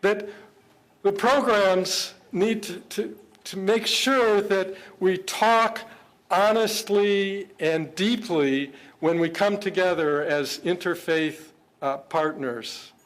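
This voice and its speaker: An older man giving a speech in a fairly high voice. He speaks earnestly, stops often and repeats words before going on. The remarks sound partly prepared and partly worked out as he talks. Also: a bit bright. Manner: halting, often repeats words while searching for phrasing; earnest, measured. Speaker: government official speaking at an interfaith event.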